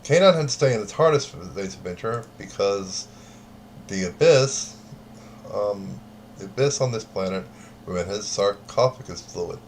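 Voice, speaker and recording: Deadpan young man reading game cards. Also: on the low side, normal speed, a little hiss or hum. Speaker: card game player. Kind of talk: casual chat, reading cards aloud.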